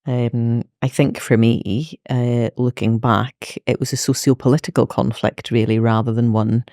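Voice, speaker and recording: A middle-aged woman with a Belfast accent, a medical educator speaking reflectively as an interview guest, with many pauses and fillers. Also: very deep voice, fairly even intonation, warm tone, dry recording, studio quality. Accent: Northern Irish, Belfast. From Northern Ireland. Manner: thoughtful, many fillers and restarts.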